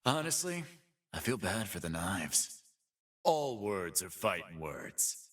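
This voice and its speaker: An actor playing a cocky, streetwise pit-fighting boss, boastful and sardonic, in video game voice lines. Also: male voice, low-pitched, slow pace, far from the mic, full character voice. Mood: cocky deadpan. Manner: streetwise, boastful, deadpan wisecracking. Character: cocky male pit-fighting boss, brawny half-beast with sardonic swagger.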